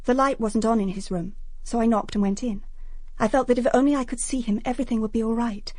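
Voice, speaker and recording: Actress as a high-voiced, wounded young Englishwoman. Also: steady tone, fast, darkish tone, no room sound, studio quality, natural voice. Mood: tense, wounded. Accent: British English. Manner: earnest, confessional. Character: young English fiancée-hopeful, wounded and self-justifying. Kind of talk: radio drama acting.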